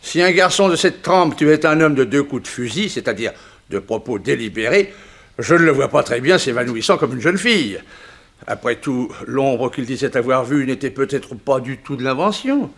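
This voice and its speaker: An actor playing a shrewd, dry examining magistrate in a 1960s French radio drama, speaking formally and with authority. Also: male voice, mid-range voice, lively intonation, moderate pace, fairly bright tone. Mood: measured, reasoning.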